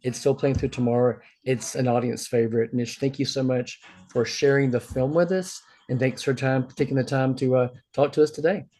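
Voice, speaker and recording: A man's voice: medium pitch, steady tone, brisk, a little mellow. A man interviewing a guest for a film festival, conversational, phrasing his questions in hesitant fragments.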